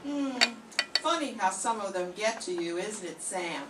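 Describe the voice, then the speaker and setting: An older woman playing a gruff, world-weary male police lieutenant with a soft heart, in an amateur 1940s-style radio play. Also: medium pitch, lively intonation, a little slow, natural voice. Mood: somber. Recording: echoey room from far off, faint hiss.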